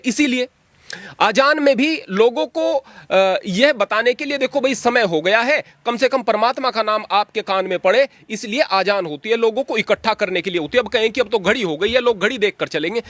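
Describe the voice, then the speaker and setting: High-voiced preachy holy man. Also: fairly bright tone, no room sound. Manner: preachy, emphatic, plain-spoken. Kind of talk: earnest spoken argument.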